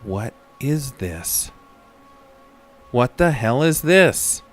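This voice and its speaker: An actor playing a sick, groggy man who tells his own horror story in a weary, measured way. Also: mid-range voice, very animated, faint music behind, full character voice. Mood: startled. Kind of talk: first-person scripted horror narration with acted dialogue.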